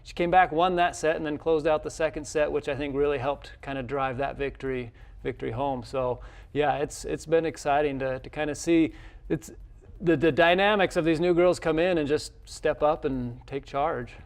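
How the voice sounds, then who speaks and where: A man speaking as a college coach in a casual interview, halting and often repeating his words as he goes. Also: fairly high pitch, fairly even intonation.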